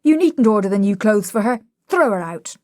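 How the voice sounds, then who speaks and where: A woman's voice: mid-range voice, expressive, normal speed, warm tone, no room sound, studio quality, natural voice. A woman voicing an imperious male professor who blusters and scolds. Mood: blustering. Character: Higgins, domineering male phonetics professor.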